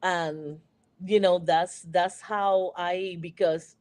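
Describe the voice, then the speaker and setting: Puerto Rican woman, lowish voice. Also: fairly even intonation, slow pace, darkish tone. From Puerto Rico. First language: Spanish. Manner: reflective, often asks "right?". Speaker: panel guest. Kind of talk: discussion.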